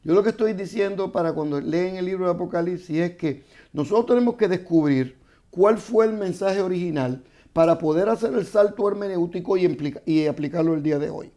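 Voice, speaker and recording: A man, a guest author, explaining scripture in a measured, teaching way on a studio panel. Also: sits high, warm tone.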